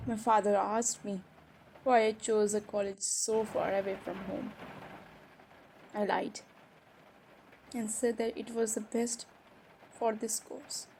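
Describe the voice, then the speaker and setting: A woman playing a hurt, defiant young college-age stepsister in an improvised audio roleplay, speaking emotionally and haltingly with sighs and pauses. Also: medium pitch, level tone, darkish tone, poor audio. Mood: hurt, bitter. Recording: faint background noise.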